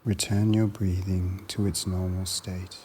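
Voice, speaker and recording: A man narrating a guided meditation in a measured, soothing manner with long pauses between short phrases. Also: low voice, little pitch movement, a little mellow, a little hiss or hum.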